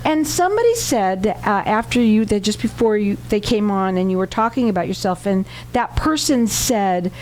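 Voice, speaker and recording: A woman's voice: medium pitch, lively intonation, moderate pace, few pauses, a little mellow, slight background noise, poor audio. A woman hosting a radio interview in a studio, chatty and enthusiastic, running on in casual talk. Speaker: radio talk show host.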